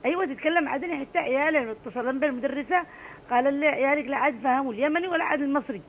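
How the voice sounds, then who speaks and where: A woman's voice: high voice, very fast, phone-quality sound, a little hiss or hum. A middle-aged woman with an Adeni accent, calling in to a radio show and speaking passionately. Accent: Adeni Arabic. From Aden, Yemen. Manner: passionate, emphatic. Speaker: radio caller. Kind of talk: call-in radio chat.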